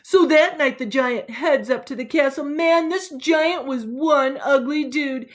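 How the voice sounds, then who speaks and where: A woman's voice: sits high, measured pace. Woman playing a cocky, slangy boy storyteller. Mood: boastful, excited. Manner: slangy, blunt, sardonic. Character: cocky boy storyteller who loves action and cool dudes. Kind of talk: dramatic storybook read-aloud.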